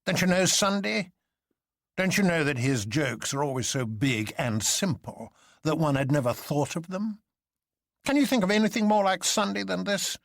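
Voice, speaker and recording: Fierce inspector, read by a male narrator, gravelly, emphatic, bitterly sardonic storybook detective. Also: a little high, dry recording, clean studio sound, voice pushed up. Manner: emphatic, declaiming.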